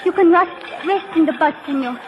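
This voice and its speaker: A woman's voice: very high voice, fairly quick, highs cut off, noisy background. An actress playing a devoted, anxious young Mexican girl with a Mexican accent and simple English in a 1940s radio drama. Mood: urgent, pleading. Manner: pleading, simple broken English. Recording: old radio broadcast recording.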